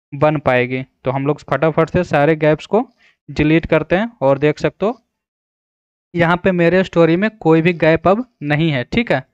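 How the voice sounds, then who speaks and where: A man walks listeners through an app in a casual, instructional way. His voice is clear and on the higher side. The recording is close and dry, with a slightly muffled top end. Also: no room sound. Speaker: tutorial host. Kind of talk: casual screen-recorded app tutorial narration.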